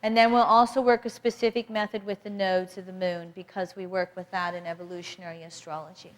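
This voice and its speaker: A woman teaching an astrology workshop in a loud, emphatic voice, repeating key points for her class. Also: lowish voice, flat delivery, brisk. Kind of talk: workshop lecture.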